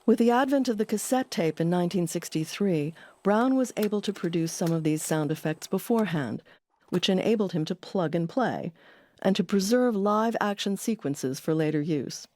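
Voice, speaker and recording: A woman's voice: lowish voice, lively intonation, moderate pace, warm tone. A woman hosting an audio documentary, delivering scripted narration. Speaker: documentary host.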